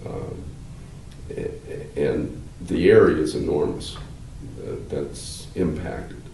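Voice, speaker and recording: An older man, a law professor, explaining at length in a measured, halting way with many fillers, seated in a wood-paneled room. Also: rumbling low, lively intonation, gravelly, mellow. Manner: gestures with hands while talking. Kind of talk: explanatory interview answers. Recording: roomy, slightly distant, faint hiss.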